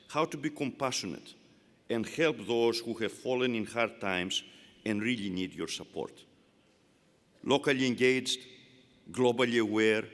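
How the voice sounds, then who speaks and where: A man with a Greek accent giving a formal, measured commencement address to graduates. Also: mid-range voice, a bit bright. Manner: measured, emphatic oratory. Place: large indoor arena. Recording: long arena reverb.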